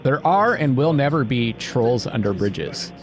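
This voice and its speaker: A man's voice: medium pitch, big pitch swings, measured pace, soft background music. A man playing a video game and commenting casually, thinking aloud and reading on-screen text as he goes. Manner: thinking aloud, puzzling things out.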